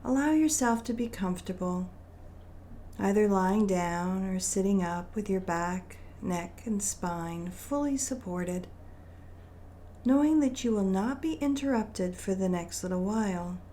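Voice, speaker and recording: A woman leading a guided meditation in a gentle, soothing manner, with deliberate phrasing and long pauses. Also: mid-range voice, expressive, slight background noise.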